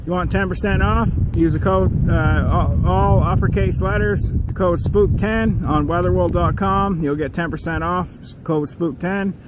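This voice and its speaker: Maritime canadian guy doing an outdoor vlog, kinda higher voice, casual rambly chat, wind blasting real loud. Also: unhurried, few pauses. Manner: folksy, repetitive, often addressing viewers directly. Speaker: outdoor vlogger. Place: outdoors among trees in strong wind. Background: strong wind noise.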